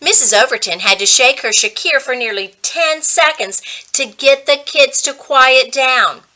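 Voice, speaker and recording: A woman reading a children's book aloud as a reading coach, with expressive, dramatic storytelling. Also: sits high, a little slow.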